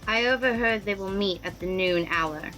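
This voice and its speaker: A woman's voice: medium pitch, normal speed, a bit bright, faint music behind, lightly acted, poor audio. A woman playing an earnest, determined noblewoman in a radio play, speaking seriously and urgently. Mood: grave, urgent. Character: earnest, determined noblewoman seeking revenge and alliance.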